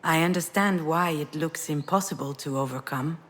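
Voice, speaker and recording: A low, husky female voice for a video game heroine. She is a grieving writer, vulnerable yet wry, who confides in a reflective, natural way. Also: normal speed, fairly bright tone. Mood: tender, reflective.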